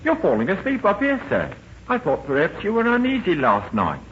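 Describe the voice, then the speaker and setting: Casting: obsequious steward, high male voice, lilting animated delivery, vintage radio drama with dull hissy sound. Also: fairly quick, exaggerated voice. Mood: fussy, deferential. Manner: formal, obsequious, apologetic. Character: polite, deferential, fussy male ship's steward. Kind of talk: 1940s radio acting.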